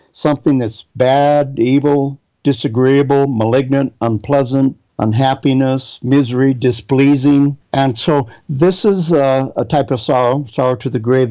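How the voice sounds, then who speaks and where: Male preacher, warm dark tone, teaching and reading scripture; slightly dull lo-fi recording. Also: mid-range voice. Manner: reads scripture aloud, spells out Hebrew words. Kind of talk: Bible teaching sermon.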